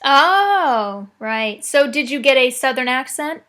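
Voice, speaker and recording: A woman's voice: high voice, lively intonation, takes it slowly, sharp tone. A woman making a prank phone call, talking in a mock-serious, teasing way. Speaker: prank caller.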